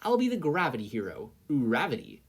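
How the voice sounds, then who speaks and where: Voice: medium pitch, fairly quick, breathy edge, voice pushed up. A narrator with an American accent voicing a bubbly, eager, friendly teenage girl in a story reading. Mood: cheerful. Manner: perky, excitable.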